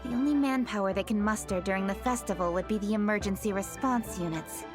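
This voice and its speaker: A woman's voice: medium pitch, quick pace, over music, natural voice. A woman playing a poised young noblewoman leader in a video game, serious and dutiful, speaking formally and firmly. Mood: serious, explanatory. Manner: composed, formal, firm. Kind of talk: video game character acting.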